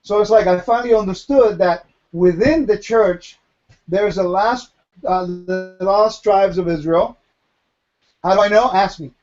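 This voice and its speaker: A man's voice: high voice, a little slow, a little mellow, lo-fi sound, faint music behind. A man from Puerto Rico teaching Torah in a question-and-answer session, emphatic and posing questions he then answers himself. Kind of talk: Q&A Bible teaching.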